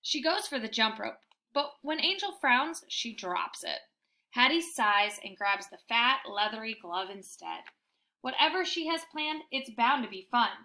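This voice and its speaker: A woman reading a children's book aloud in an animated, emphatic storytelling style. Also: a little high, measured pace. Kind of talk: expressive children's book narration.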